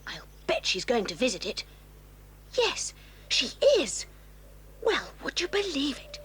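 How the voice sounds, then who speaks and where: A woman's voice: high-pitched, big pitch swings, a little slow, fairly bright tone, slightly rough, full character voice. A woman playing a snobbish, sly schoolgirl with a prim, haughty manner in a children's audio drama. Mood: nosy disapproval.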